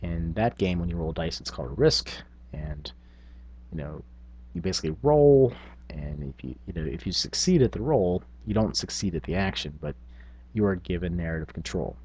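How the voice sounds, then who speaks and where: A man's voice: low-pitched, lively intonation, moderate pace, a little mellow, slightly rough. A man hosting a tabletop gaming vlog, talking casually in a rambling, self-effacing, unscripted way. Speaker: tabletop gaming video host.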